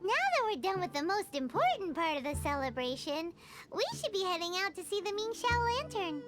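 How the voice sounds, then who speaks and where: A woman's voice: very high voice, sing-song, a little fast. A woman voicing a tiny, cheerful, bossy girl fairy companion in a game dub, perky, childlike and chatty. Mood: eager, bossy. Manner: perky, childlike, chatty, refers to self by name. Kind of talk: animated video game dub acting. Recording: loud music under the voice.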